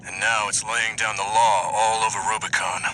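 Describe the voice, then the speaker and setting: A man's voice: fairly low pitch, level tone, normal speed, pushed and bright, slight background noise, poor audio. An actor playing a confident, friendly male mech pilot in a video game, breezy but businesslike. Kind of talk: video game voice acting, radio message.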